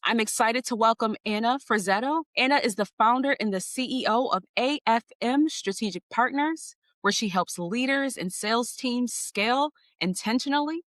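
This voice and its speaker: A woman hosting an interview podcast, her delivery polished and measured as she leans into each item of a list. Her voice sits at an ordinary pitch for a woman. The recording is close and dry, with no room sound. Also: fairly bright tone, dry recording. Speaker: podcast host. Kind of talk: scripted guest introduction and interview questions.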